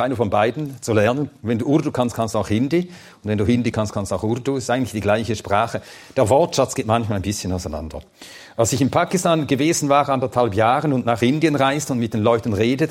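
A man's voice: mid-range voice, darkish tone, breathy edge. A man whose first language is Swedish, teaching a Bible seminar and answering audience questions in a thoughtful, plain-spoken way with some hesitant fillers.

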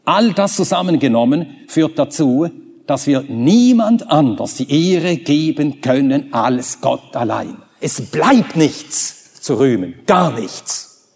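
Male preacher, native Swedish, emphatic sermon delivery with soaring high exclamations, fairly high voice, long hall reverb. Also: lively intonation, takes it slowly, creaky, darkish tone, breathy edge. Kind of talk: sermon with Bible reading.

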